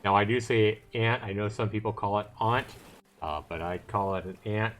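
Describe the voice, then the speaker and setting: A man's voice: fairly low pitch, fairly even intonation, moderate pace, slight background noise. A middle-aged man with an American accent, from Texas, giving casual commentary as he reads a game aloud on a livestream. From Texas, USA.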